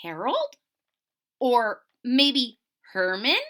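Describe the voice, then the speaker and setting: A woman's voice: fairly high pitch, wildly swooping pitch, extremely slow, pushed and bright, exaggerated voice. A woman voicing a small young male porcupine from a storybook, polite and earnest, with an innocent, questioning manner. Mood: polite, puzzled.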